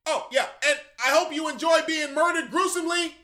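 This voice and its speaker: A man's voice: very high voice, a little fast, pushed and bright. A man playing an indignant, snobbish rich kid in a comic radio play, huffy and sarcastic.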